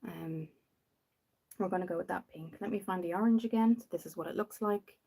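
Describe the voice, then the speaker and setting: A young woman from Dublin with a softened Irish accent, rambling casually while hosting a makeup livestream and answering viewers. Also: lowish voice, a little fast, vocal fry, slightly rough. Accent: Dublin Irish, softened after years in the UK. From Ireland. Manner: chatty and rambling, reads out viewer comments.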